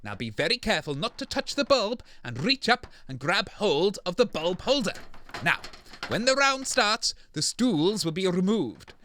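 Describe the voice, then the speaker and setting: Officious male host, played by an actor, sharp high-pitched delivery, commentating for comedy radio. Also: big pitch swings, normal speed, a little hiss or hum, full character voice. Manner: bossy, commentating. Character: brash, officious radio host refereeing a debate. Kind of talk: comedy radio show acting.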